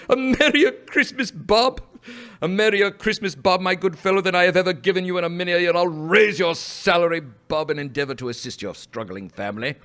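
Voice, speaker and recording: A man's voice: fairly high pitch, bright, forceful tone. A man playing a giddy reformed old miser. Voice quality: chuckling, stammering, breathless with glee. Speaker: actor in a live radio play. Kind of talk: live community radio play acting.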